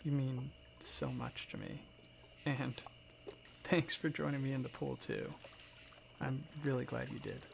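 Man as a soft-spoken, gravelly, caring dragon. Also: medium pitch, wildly swooping pitch, unhurried, long pauses, full character voice. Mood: tender. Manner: soft-spoken, intimate, reassuring. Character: affectionate, caring male dragon friend, gentle and playful. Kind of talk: soft-spoken ASMR roleplay. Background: hiss, crickets and water or rain sounds.

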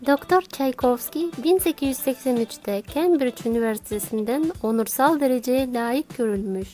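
A woman plays a cartoon schoolgirl telling a classmate lesson facts. Her voice is high, the delivery scripted and informative, with faint music behind. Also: moderate pace, darkish tone, lightly acted. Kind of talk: scripted educational dialogue.

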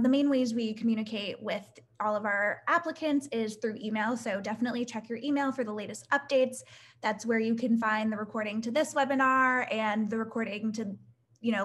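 Upbeat young woman host, slightly high voice, chatty with fillers, laptop mic on a video call. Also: fairly bright tone. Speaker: webinar host reading audience questions. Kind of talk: casual live Q&A webinar. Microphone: laptop webcam mic on a video call.

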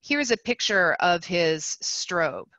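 A woman speech therapist presenting in a webinar over a video call, talking in a brisk, conversational way. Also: fairly low pitch, sing-song, pushed and bright, no echo. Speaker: speech-language pathologist presenting. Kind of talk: webinar lecture.

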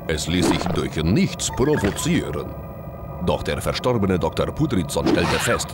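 A man playing a stern, dry scientist in a video game, formally narrating a lab report. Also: deep voice. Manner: formal, clinical. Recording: loud music under the voice.

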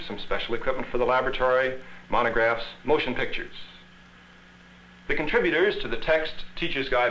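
A man's voice: mid-range voice, sharp tone. American male presenter on a muffled old film. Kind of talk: scripted film narration. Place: classroom laboratory film set. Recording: muffled, hissy 1960s film soundtrack.

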